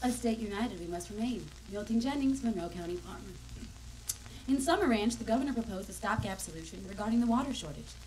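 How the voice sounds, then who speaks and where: Woman acting brisk radio correspondent. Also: mid-range voice, lively intonation, a little mellow, a little hiss or hum, natural voice, poor audio. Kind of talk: 1920s radio news report acting.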